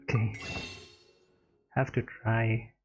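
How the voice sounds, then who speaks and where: A man giving casual, low-key reaction commentary as he streams a game, muttering in short remarks. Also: little pitch movement, drawn-out words, vocal fry, highs cut off. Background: game music.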